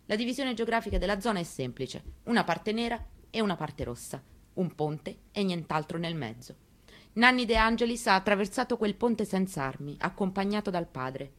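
A woman's voice: mid-range voice, animated, moderate pace, lightly acted. A woman performing a dramatic reading as a grieving, defiant elderly mother, telling her story with pointed rhetorical questions. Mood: somber. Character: grieving elderly Italian mother, bitter, defiant, searching for answers. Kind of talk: dramatic stage reading of a memoir, monologue.

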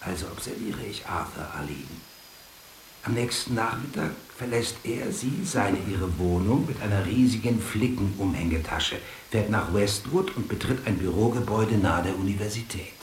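A man playing a hard-boiled police detective and father in a radio drama, with a gravelly voice. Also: on the low side, normal speed, echoey room, slight background noise, natural voice. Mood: wry storytelling. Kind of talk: radio drama acting, storytelling.

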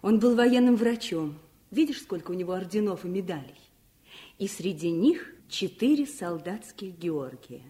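Woman as a proud, lower-voiced mother. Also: animated, normal speed, mellow, close to an everyday voice. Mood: proud, tender. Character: proud mother gently teaching her child about family. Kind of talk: Soviet radio play acting.

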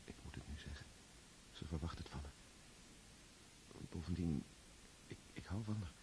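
A man's voice: rumbling low, moderate pace, dark tone, slight background noise. An actor playing an awkward, hesitant young suitor, murmuring his thoughts breathily in a radio drama. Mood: nervous. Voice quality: breathy, whispered. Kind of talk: radio drama inner monologue.

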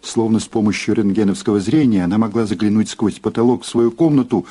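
Deep, dark storyteller. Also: male voice, fairly even intonation, fast talker, slightly rough. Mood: ominous. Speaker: narrator. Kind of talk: scripted dramatic narration.

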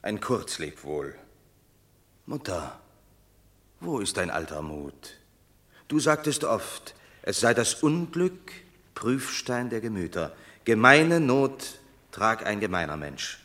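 An actor playing a proud, banished Roman war hero who declaims verse in a commanding, bitter manner in a radio play. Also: male voice, fairly low pitch, big pitch swings, takes it slowly, a bit bright, full character voice. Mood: consoling, defiant. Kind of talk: German radio drama, stage verse.

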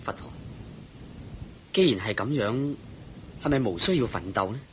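A man plays an earnest monk disciple in a Cantonese radio drama from Hong Kong, his lowish voice moving expressively up and down. He is respectful and inquiring, heard over a slight rumbling noise. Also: expressive, normal speed, lightly acted. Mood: earnest questioning. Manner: deferential, inquiring. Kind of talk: Buddhist radio drama acting. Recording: noisy recording with low rumble.

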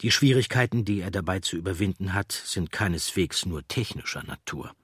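Husky, low-voiced male narrator. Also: expressive, brisk, fairly bright tone, no room sound. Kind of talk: scripted documentary narration.

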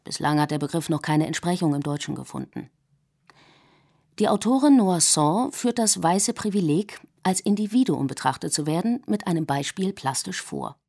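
Low, gravelly woman reading an essay. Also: lively intonation, darkish tone. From Germany. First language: English. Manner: measured, reflective reading. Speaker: essay author and narrator. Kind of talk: scripted radio essay narration.

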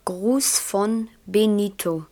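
Letter reader, very slow, halting, mid pitch, careful, radio play. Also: female voice, mellow, soft background music. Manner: halting, word by word. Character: someone haltingly reading a simple letter aloud. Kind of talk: German radio play acting.

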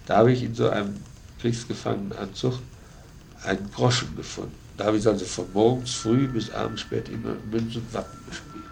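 Older guy, really creaky low voice, halting memories, bit of hiss on an old interview tape. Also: darkish tone. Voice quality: gravelly, creaky. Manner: halting, fragmentary. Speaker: former prisoner interviewed. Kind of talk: spontaneous recollection in an archive interview. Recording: noisy archive interview tape.

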